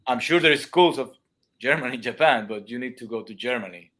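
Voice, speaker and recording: A man's voice: mid-range voice, brisk, a bit bright. Man explaining with restarts, non-native accent. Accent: non-native English speaker. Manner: explains with everyday comparisons, many restarts. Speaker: dance and music teacher; guest. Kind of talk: casual live-stream chat. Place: room at home with cameras.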